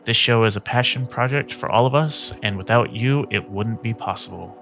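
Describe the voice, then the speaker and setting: A man's voice: medium pitch, moderate pace, vocal fry, a bit bright. A man speaking to listeners as the host of a tabletop adventure show. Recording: slightly dull audio with faint music.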